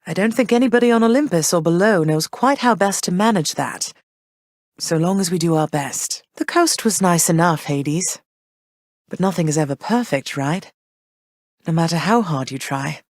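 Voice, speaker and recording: An actress voicing a gentle, motherly goddess queen of the underworld, speaking warmly and graciously in game voice lines. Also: lowish voice, expressive, normal speed, dry recording. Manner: warm, gracious, softly reassuring. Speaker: voice actor. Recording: dry studio voice recording.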